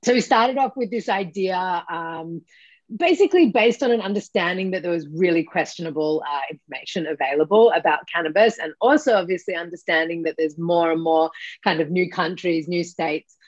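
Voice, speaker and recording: A woman's voice: on the low side, brisk, no echo. A woman in her forties with an Australian accent, a guest chatting casually on a video call, with many ums and self-corrections. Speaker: guest, company co-founder and CEO. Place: home office. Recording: dull lo-fi video call audio.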